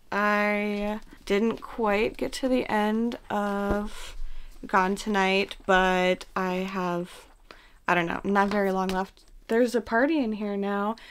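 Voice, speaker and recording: A woman with a hoarse voice, worn out from staying awake all night, gives a casual vlog update at home. She talks in a tired, rambling half-whisper so she won't wake anyone. Her pitch sits in an ordinary middle range. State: exhausted from no sleep. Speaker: book vlogger.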